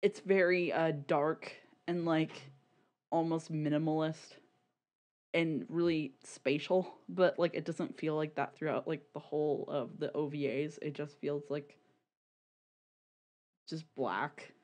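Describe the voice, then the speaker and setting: A podcast co-host chatting casually about media in a rambling, halting, sweary way, often repeating words. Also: medium pitch, steady tone, a little mellow. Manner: informal, sweary.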